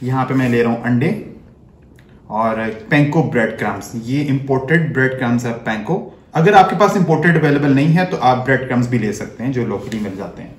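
A man's voice: mid-range voice, a little fast. Man explaining a recipe step by step. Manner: step-by-step instructive, speaks while working with hands. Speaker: chef. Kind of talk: cooking demonstration. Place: home kitchen, indoors. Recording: echoey kitchen, faint background noise.

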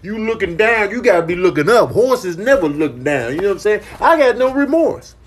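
A vain stallion played by a man brags in slangy street banter for a comedy animal dub. The voice is high and swings widely. The clip audio is clearly noisy. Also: big pitch swings, brisk. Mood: cocky, unrepentant. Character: boastful swaggering stallion, remorseless and vain.

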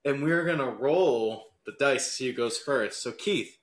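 A man's voice: mid-range voice, unhurried, echoey room. A man hosting a debate show in a casual, comic style, jokey and prone to swearing.

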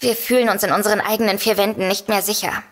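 A woman's voice: mid-range voice, steady tone, fast talker, close to an everyday voice. An actress playing a composed, sensible but worried woman novelist, explaining things earnestly in an audio drama. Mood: serious.

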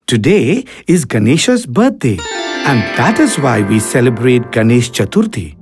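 A man's voice: medium pitch, sing-song, a little slow, music in the background. A man playing a kindly, cheerful old grandfather in a children's cartoon.

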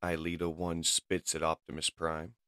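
A man's voice: low-pitched, monotone, normal speed, bright, forceful tone, clear, resonant tone, no room sound, studio quality. A man giving dramatic scripted narration as the storyteller of a comic book reading.

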